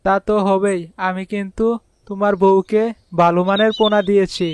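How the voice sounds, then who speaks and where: A man's voice: sits high, flat delivery, moderate pace, a little hiss or hum, close to an everyday voice. A man voicing a friendly village seller of young fish who gives advice in a cartoon fairy tale. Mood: friendly, advising. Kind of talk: animated fairy tale voice acting.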